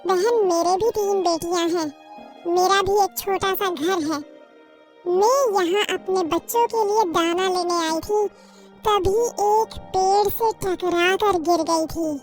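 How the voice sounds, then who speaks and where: A woman's voice: very high voice, steady tone, slow, over music. A woman voicing anxious, caring little birds in a children's cartoon, speaking in an earnest, plaintive way. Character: worried, caring female bird characters and hungry chicks in a children's cartoon. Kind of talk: Hindi children's cartoon story dubbing.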